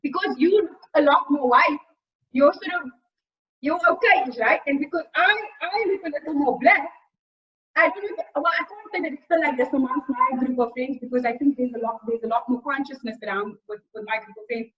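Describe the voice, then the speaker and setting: High-voiced Cape Town woman on a video call. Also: a little hiss or hum. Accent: Cape Town South African. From Cape Town, South Africa. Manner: lively, quick restarts and repeated words. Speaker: host. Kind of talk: casual conversation. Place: small indoor room. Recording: dull lo-fi video call audio.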